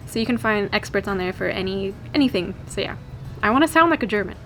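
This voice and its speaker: A young American woman from California, chatting to camera in a lively, giggly vlog. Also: medium pitch, quick pace, faint music behind, rough recording. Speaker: vlogger. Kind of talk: casual chat to camera.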